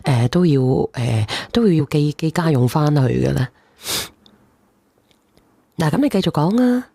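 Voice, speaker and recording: Low, mellow-voiced woman host. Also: expressive, measured pace, vocal fry, husky, dry recording. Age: 40s. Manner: addresses listeners with questions. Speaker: call-in show host. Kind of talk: casual livestream advice chat.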